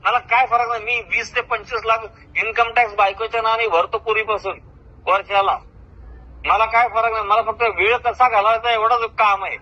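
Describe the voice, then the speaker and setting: A man's voice: high voice, fairly even intonation, brisk, pushed and bright. An older man with a Marathi accent from Maharashtra, talking boastfully and confrontationally at length on a recorded phone call. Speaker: retired government officer. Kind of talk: heated informal phone conversation.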